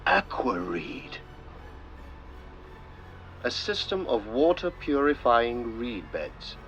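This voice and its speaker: A man narrating a scripted art film in the manner of an old-style documentary, with measured, theatrical, deliberate phrasing. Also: medium pitch, big pitch swings, fairly bright tone, faint music behind. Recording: noisy, dull lo-fi narration track.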